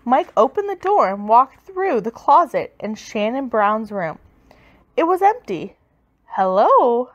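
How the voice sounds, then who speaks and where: A woman reading a children's story aloud with expressive, dramatic, emphatic delivery. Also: fairly high pitch, unhurried, muffled recording. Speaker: storybook reader.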